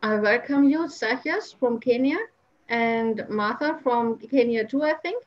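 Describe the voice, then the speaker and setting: A woman's voice: on the high side, a little slow. A woman hosting an online panel, speaking casually over a video call. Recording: muffled internet video call.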